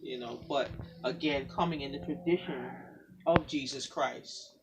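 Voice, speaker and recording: A man, a pastor and poet, talking in an animated, preacherly way in a casual faith conversation. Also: medium pitch, a little slow, gravelly, far from the mic, noisy background.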